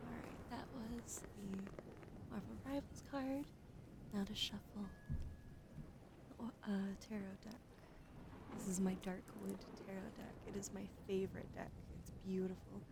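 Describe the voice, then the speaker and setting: Woman with a gravelly whisper. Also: medium pitch, steady tone, a little slow, background noise. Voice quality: gravelly fry, often whispered. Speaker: streamer doing tarot readings. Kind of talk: casual ASMR chat, soft-spoken.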